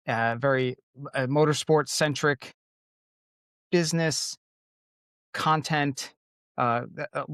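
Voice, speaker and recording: A man's voice: medium pitch, no room sound, clean studio sound. A man from Connecticut hosting a podcast in casual chat, rambling with many fillers and restarts. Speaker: podcast host.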